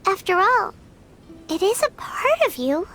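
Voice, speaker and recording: Tiny, very squeaky fairy girl. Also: very animated, a little slow, a bit bright, soft background music, exaggerated voice. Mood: concerned, gentle. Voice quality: squeaky, childlike. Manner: cutesy, speaks of herself in third person. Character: tiny childlike female fairy companion, naive, caring. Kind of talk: cartoonish video game voice acting.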